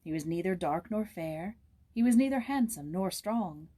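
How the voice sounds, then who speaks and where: A woman narrating a children's story aloud in a measured, expressive storytelling manner. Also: lowish voice. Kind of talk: storybook read-aloud with informal introduction.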